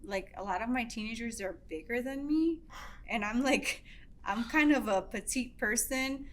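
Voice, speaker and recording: A young Mexican-American woman teacher with a low voice, talking softly in a casual interview, repeating words and slipping into Spanish. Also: moderate pace. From Mexican-American, from the United States. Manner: soft-spoken, repeats words, mixes in Spanish. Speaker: high school teacher, interview guest. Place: school classroom.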